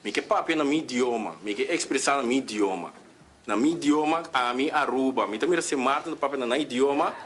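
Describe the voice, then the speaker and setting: A parliament member speaking in a debate, halting and repeating himself as he asks his question. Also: mid-range voice, a bit bright, a little hiss or hum.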